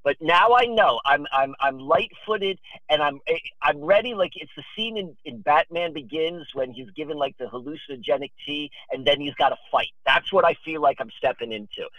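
A man in his fifties talking casually as a podcast guest, telling rambling stories in an excitable, digressive, emphatic manner. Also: a little high, normal speed, few pauses, no echo, highs cut off. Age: 50s. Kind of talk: animated rambling storytelling and casual chat.

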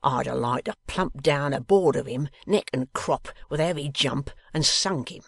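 A man's voice: mid-range voice, moderate pace, a bit bright, no room sound. A man voicing a coarse, sly lock-keeper from a novel, growling with an insolent manner. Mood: sullen, angry. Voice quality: growling, gruff. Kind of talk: dramatised novel reading.

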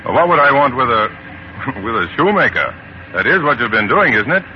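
An actor playing a brisk, impatient American newspaperman in a 1940s radio drama. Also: mid-range voice, very animated, a little hiss or hum, natural voice. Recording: muffled 1940s radio recording.

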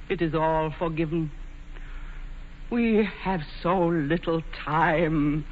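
An actress playing a proud, imperious English queen in a commanding, theatrical 1940s radio drama style. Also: on the low side. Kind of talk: 1940s radio acting, stage classical drama. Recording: muffled, hissy 1940s radio recording with reverb.

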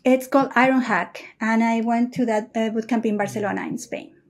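Female engineer guest from Peru, higher pitch, calm and deliberate, video-call audio. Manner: measured and thoughtful, with frequent "uh" pauses. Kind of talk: casual interview answers.

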